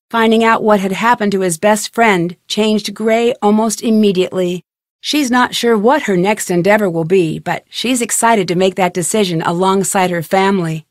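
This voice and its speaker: A woman playing a young detective who narrates a game's story. Her mid-pitched voice is composed and articulate, recorded very dry. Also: dry recording, lightly acted. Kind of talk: scripted narration in a video game.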